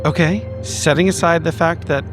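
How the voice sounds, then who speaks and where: A man's voice: fairly high pitch, steady tone, moderate pace, over music. A man playing a tabletop roleplaying game, improvising in character in an argumentative way and swearing casually.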